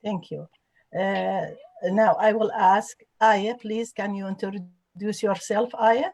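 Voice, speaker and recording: Polite, halting woman host from Iraq. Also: medium pitch, fairly even intonation, gravelly, a little mellow, no echo. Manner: polite, halting, many "uh" fillers. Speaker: host and adult education instructor. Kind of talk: formal roundtable introductions.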